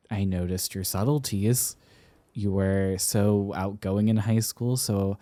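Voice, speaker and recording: A man plays a college-age boyfriend, flustered, teasing and soft-hearted, in an intimate roleplay. His voice is on the low side and dark, recorded close and dry. He speaks slowly, stumbling shyly over his words. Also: dry recording. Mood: earnest, wistful. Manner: hesitant, stammering, soft-hearted. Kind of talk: intimate romantic roleplay ASMR.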